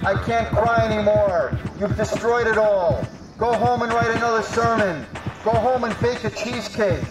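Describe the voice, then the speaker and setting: A man's voice: sits high, normal speed, natural voice. A man playing a grandiose, bitter doomsday prophet, declaiming apocalyptic predictions in a portentous way. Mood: bitter ranting. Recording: echoey, distant, under loud music.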